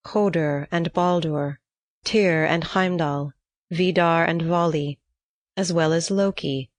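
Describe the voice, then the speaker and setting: A woman reading a myth as an audiobook narrator, in a measured storytelling manner. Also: low-pitched, no room sound, clean studio sound.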